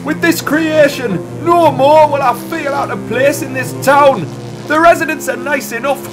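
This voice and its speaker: A woman's voice: high voice, steady tone, normal speed, over music, close to an everyday voice. A woman playing an eccentric, impatient inventor in an audio comedy drama, in a theatrical manner. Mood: manic, exultant. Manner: theatrical, muttering to exultant crowing. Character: eccentric, embittered inventor woman, impatient, gleefully mad creator.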